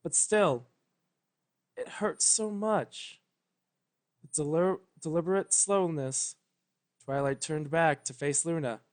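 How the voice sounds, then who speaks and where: A man with a fairly high voice narrates a piece of fan fiction. He reads from a script in a dramatic storytelling style. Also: slow, long pauses.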